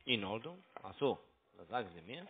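A man with a foreign accent and non-native, halting German with broken grammar, making an unscripted sales call over the phone as a broker. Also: on the low side, very animated. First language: not German. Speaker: cold caller posing as a broker. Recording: tinny narrow phone line.

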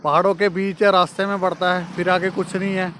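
A man's voice: sits high, level tone, fairly quick, over loud music. A man in his thirties from Punjab in India, chatting upbeat to a phone held at arm's length in a casual travel vlog outdoors at a roadside eatery. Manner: chatty, upbeat, mixes English into Hindi. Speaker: travel vlogger. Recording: outdoor background noise.

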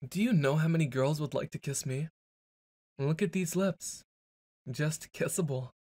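A man's voice: a little high, normal speed, darkish tone, no room sound, clean studio sound. A young man performing a boyfriend ASMR roleplay, brash and teasing, stumbling into flustered stammers.

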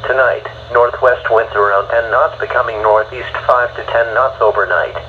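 An American man's synthetic text-to-speech voice reading a weather bulletin in a flat, formal way. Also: medium pitch, moderate pace. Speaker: automated weather radio announcer. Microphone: phone held to a radio speaker. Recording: dull radio broadcast re-recorded by phone, faint noise.

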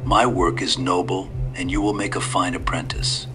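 A man narrating a horror story, voicing a sinister aged man in a hushed, raspy, slow voice. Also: low voice, level tone, noisy background, natural voice.

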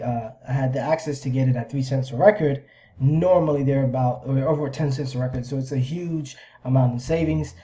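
A man in his thirties talking through a screen-share explainer casually, in a halting, repetitive way as if thinking aloud. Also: medium pitch, warm tone. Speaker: video host sharing a business plan. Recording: slightly dull, lo-fi microphone sound.

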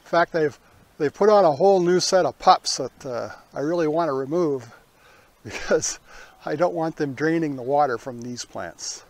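A man, hosting a casual garden tour in a folksy, unhurried way outdoors. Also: a little high, no echo. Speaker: gardening vlog host.

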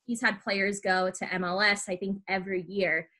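A woman's voice: mid-range voice, flat delivery, normal speed, sharp tone. A young adult woman hosting a podcast, interviewing in a casual, chatty style. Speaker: podcast host and team staff member.

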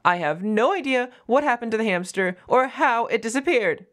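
A woman's voice: fairly high pitch, lively intonation, moderate pace, natural voice. A woman voicing a defensive, flustered young half-wolf girl roommate in an audio roleplay, stammering and evasive with a whiny manner.

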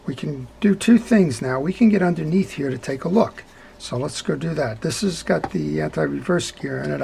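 A man's voice: a little high, vocal fry, darkish tone, slight background noise. A middle-aged man hosting a hands-on fishing reel repair tutorial at his workbench, talking it through step by step in a folksy way. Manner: talkative, folksy, explains step by step with "right?" checks. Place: indoor workbench.

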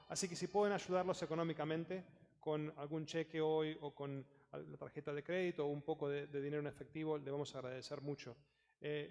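Male priest, fairly high voice, informal church appeal, long church reverb. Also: a little mellow. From Argentina. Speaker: visiting priest. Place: large church, at the lectern. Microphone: headset microphone.

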